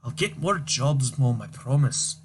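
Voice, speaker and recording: A man doing a gruff Scottish demolitions man. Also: mid-range voice, animated, measured pace, lightly acted. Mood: weary. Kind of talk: reading a comic aloud, all voices.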